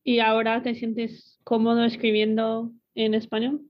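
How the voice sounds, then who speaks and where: A woman with a fairly high voice asks short, casual interview questions. The sound is muffled and lo-fi. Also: monotone, measured pace. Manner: brief questions and backchannel sounds. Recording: dull lo-fi recording.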